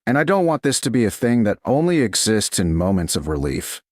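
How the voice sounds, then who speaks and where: A man voicing a composed young noblewoman who speaks in a measured, earnest, plain way. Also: on the low side, sing-song, darkish tone, no echo, studio quality. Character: composed noblewoman, young adult, guarded but candid.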